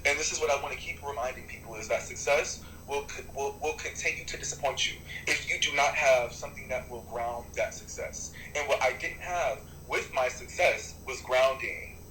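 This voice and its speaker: A man who is a rapper and artist gives long, animated interview answers, often restarting his sentences and swearing for emphasis. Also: medium pitch, normal speed, few pauses, reverberant, slight background noise, poor audio. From United States.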